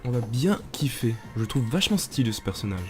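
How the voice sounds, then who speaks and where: A man with a cold narrates his gameplay in loose, slangy chatter, getting excited and calling out to his viewers. His pitch sits mid-range, and game music plays loudly behind him. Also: quick pace. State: has a cold. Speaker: gamer. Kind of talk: casual gameplay commentary. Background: game music and sounds.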